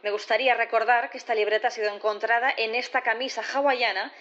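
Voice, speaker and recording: Casting: female prosecutor, played by an actress in sci-fi audio drama; higher voice, methodical, insistent, formal, slightly dull recording. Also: fairly even intonation, fast, fairly bright tone, natural voice. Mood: insistent, accusing. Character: composed, probing female prosecutor in a sci-fi courtroom. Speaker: actor in audio fiction. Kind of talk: audio drama acting, courtroom questioning.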